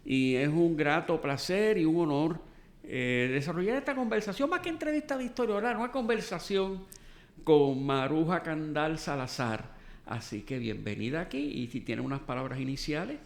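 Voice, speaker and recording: A man's voice: a little high, animated, normal speed. Formal Puerto Rican man interviewing. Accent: Puerto Rican Spanish. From Puerto Rico. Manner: formal, courteous introductions. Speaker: interviewer, archive director. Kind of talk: formal oral history interview. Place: boardroom.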